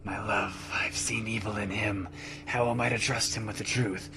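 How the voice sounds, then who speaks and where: A man reading a note aloud as a grim, sinister figure, slow and brooding, slipping into whispers. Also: lowish voice, fairly even intonation, background noise, close to an everyday voice.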